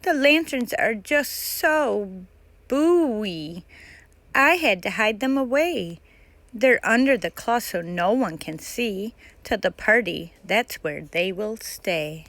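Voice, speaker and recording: A woman's voice: medium pitch, expressive, slow pace, fairly bright tone, dry recording. A woman voicing an anxious, earnest young fairy in a children's storybook. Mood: falsely cheerful. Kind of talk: storybook character voice in a read-aloud.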